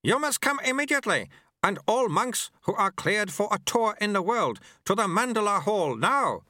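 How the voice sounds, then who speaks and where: A man's voice: high-pitched, big pitch swings, moderate pace, pushed and bright, dry recording, clean studio sound, voice pushed up, exaggerated voice. A man voicing a breathless male acolyte who gives urgent orders in an audiobook. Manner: urgent and commanding.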